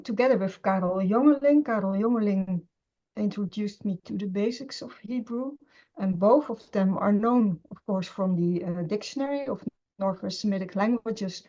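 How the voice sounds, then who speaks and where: A middle-aged woman, a scholar of Semitic languages, speaking in an interview with many pauses, fillers and restarts. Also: medium pitch, mellow. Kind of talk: conversational interview. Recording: dull lo-fi sound.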